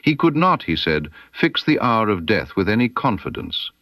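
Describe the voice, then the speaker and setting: Male audiobook narrator for period mystery fiction. Low voice, measured and formal, with expressive pitch movement. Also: no room sound, studio quality. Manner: measured, formal storytelling delivery. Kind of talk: scripted literary mystery narration in Victorian first person.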